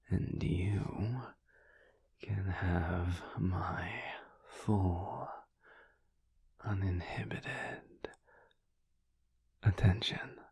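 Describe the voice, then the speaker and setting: A man playing a teasing, affectionate werewolf husband in an intimate audio roleplay, murmuring in a breathy, husky whisper. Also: low voice, darkish tone, no room sound, full character voice. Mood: flirty, promising. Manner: slow, murmured, playful delivery with long pauses. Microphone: close mic, dry.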